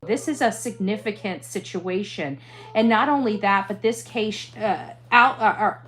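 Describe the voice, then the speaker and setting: A woman speaking earnestly to an audience as a commentator, halting and emphatic, repeating phrases to press her point. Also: mid-range voice, a bit bright. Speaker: interviewee, online true crime commentator.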